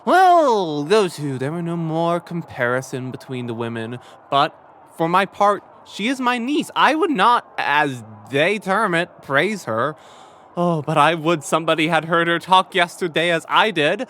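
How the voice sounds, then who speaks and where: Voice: medium pitch, big pitch swings, unhurried, fairly bright tone, a little hiss or hum. A young performer playing a meddling, chatty matchmaker uncle in a Shakespearean audio drama, fussy, huffy and full of teasing banter. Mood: sly, gossipy. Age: young adult.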